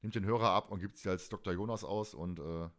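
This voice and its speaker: A man's voice: low voice, steady tone, quick pace, a bit bright. Man in his forties, colloquial rambler. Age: 40s. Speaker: fan podcast host. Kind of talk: casual chat.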